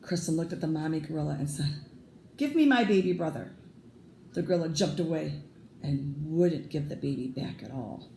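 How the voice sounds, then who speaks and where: A woman reading a children's picture book aloud as a storyteller, deliberate and expressive. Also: deep voice, gravelly. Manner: deliberate, emphatic, with sound effects. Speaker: read-aloud teacher, storyteller. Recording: roomy echo, faint background noise.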